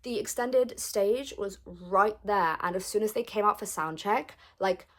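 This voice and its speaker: Hoarse, excited young woman. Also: mid-range voice, a little slow. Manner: excited, gushing, chatty. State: hoarse, gravelly from lost voice. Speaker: vlogger. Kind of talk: casual vlog chat.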